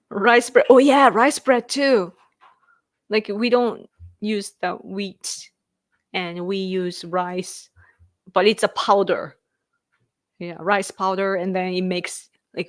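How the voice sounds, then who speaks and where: A woman's voice: mid-range voice, no echo, studio quality. A woman in her thirties with a Japanese accent, hosting a casual livestream, rambling, laughing often and thanking viewers. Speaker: livestream host. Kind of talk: casual livestream chat reading viewer comments.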